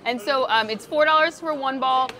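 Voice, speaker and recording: A woman's voice: high-pitched, moderate pace, bright, forceful tone. A woman co-hosting a theme park vlog from behind the camera, bubbly and enthusiastic. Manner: bubbly, enthusiastic, laughs often. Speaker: theme park vlogger, co-host. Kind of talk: casual vlog chat. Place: outdoor theme park game booth.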